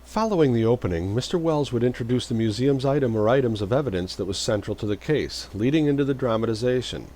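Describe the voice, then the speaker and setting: A man's voice: mid-range voice, expressive, quick pace, few pauses, background noise. A man reading a scripted, informational narration.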